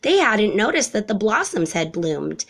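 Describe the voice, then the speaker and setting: Woman reading aloud with lively intonation. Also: mid-range voice, moderate pace, creaky. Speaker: storybook reader. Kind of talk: expressive children's read-aloud.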